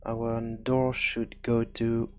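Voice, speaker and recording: A man presenting an unscripted programming tutorial, hesitant and halting as he thinks aloud. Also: medium pitch, monotone, a little mellow.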